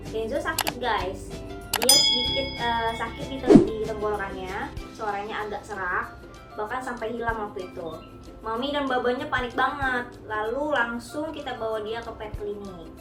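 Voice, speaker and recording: A young woman chatting casually to viewers, sharing tips about caring for her cat, mixing in English words and often calling the audience guys. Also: medium pitch. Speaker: cat owner sharing pet care tips. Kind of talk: casual vlog talk to viewers. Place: home studio. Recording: echoey room, heard from a distance, music behind.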